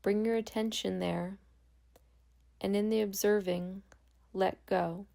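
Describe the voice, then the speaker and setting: A woman guiding a meditation as a yoga nidra instructor, speaking calmly and gently with long pauses. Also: mid-range voice, vocal fry. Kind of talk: guided meditation.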